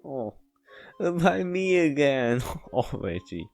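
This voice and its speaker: A man's voice: a little high, sing-song, a little mellow, faint music behind. A man doing a casual read-aloud of a visual novel, often stumbling and going back over lines. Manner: halting, stumbling and rereading lines. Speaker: let's player reading a visual novel aloud.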